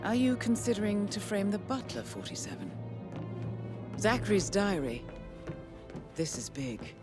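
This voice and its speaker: An actress voicing a poised, dry intelligence handler guiding an assassin in a video game, measured and wry. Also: female voice, mid-range voice, sing-song. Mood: measured, analytical. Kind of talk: video game mission commentary. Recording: music under the voice.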